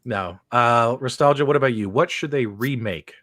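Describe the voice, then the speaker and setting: A man's voice: medium pitch, normal speed, a bit bright, dry recording. Man hosting a casual stream, dry close sound. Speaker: host of a gaming talk livestream. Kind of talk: casual panel chat.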